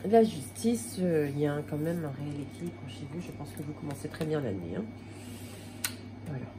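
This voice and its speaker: A woman's voice: sits low, lively intonation, fairly quick, background noise. A woman with a slight cold giving a casual tarot reading to her viewers, talking in a hesitant way with many fillers and restarts. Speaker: tarot card reader.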